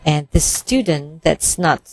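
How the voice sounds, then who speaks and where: A woman interpreting a Buddhist sermon phrase by phrase in a measured way. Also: low voice, expressive.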